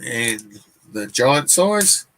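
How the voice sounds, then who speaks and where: A man in his forties with a New Zealand accent, chatting casually on a live stream as a comic collector, rambling and relaxed with lots of fillers and restarts. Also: mid-range voice, extremely slow.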